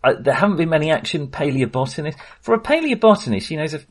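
A middle-aged man co-hosting a film podcast, talking casually and haltingly, often restarting his sentences. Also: on the high side, animated. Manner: hesitant, halting delivery with false starts; chuckles often while talking.

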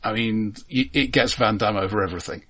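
A man co-hosting a film podcast, talking casually in a halting but precise, explanatory way. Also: on the low side, bright, forceful tone.

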